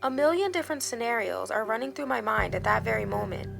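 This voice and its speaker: A woman narrating a scripted dramatic first-person story in a measured, expressive storytelling manner. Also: fairly high pitch, creaky. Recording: background music under the voice. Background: music.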